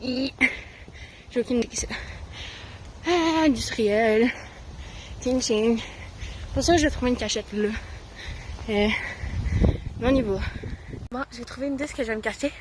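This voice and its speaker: A young woman with a Quebec French accent, chatting excitedly to her phone camera while walking city streets during a game of hide-and-seek; she has a slight cold and a sore throat. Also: fairly high pitch, unhurried, long pauses, background noise. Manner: chatty, excitable, breathless when hurrying. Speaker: hide-and-seek player vlogging. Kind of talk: casual self-filmed vlog narration. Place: outdoors on busy city streets.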